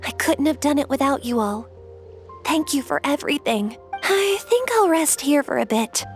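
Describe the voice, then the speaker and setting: High-voiced earnest young warrior girl. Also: animated, normal speed. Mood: sheepish, reflective. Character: earnest young female warrior haunted by fear, protective. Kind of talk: anime game voice acting. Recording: music behind the voice.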